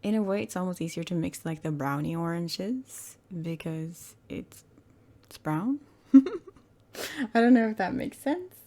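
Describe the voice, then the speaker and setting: Croaky, gravelly woman gaming host. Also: on the low side, animated, a little slow, warm tone. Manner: rambling, hesitant, self-correcting. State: hoarse, croaky throat. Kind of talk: casual gameplay commentary.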